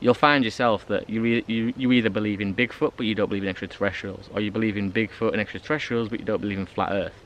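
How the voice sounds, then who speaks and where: A man's voice: lowish voice, fairly even intonation, fast talker, few pauses, slight background noise. Chatty British man vlogging in woods. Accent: British English. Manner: chatty, rambling with fillers and restarts. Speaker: bushcraft vlogger. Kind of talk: casual talk to camera. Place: outdoors in a pine forest. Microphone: handheld camera at arm's length. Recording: background music behind the voice.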